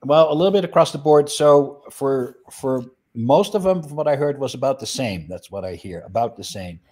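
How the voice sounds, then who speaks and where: A man's voice: medium pitch, animated, moderate pace, darkish tone. A middle-aged man from the Netherlands, explaining his business in a video call interview with many fillers. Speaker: guest, flower business owner. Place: indoor room.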